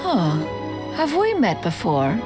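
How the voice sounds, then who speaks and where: A lofty spirit lady from a fantasy video game. The mid-pitched voice moves expressively up and down. Every phrase is formal, archaic and self-satisfied, with light music behind. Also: wildly swooping pitch, slow. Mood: intrigued. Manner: pompous, formal archaic speech, says "one" for I.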